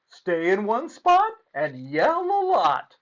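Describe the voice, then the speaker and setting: A man voicing a composed, gently instructive female schoolteacher in a children's storybook. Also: high voice, huge pitch swings, takes it slowly, highs cut off, pitched above the performer's own voice, exaggerated voice.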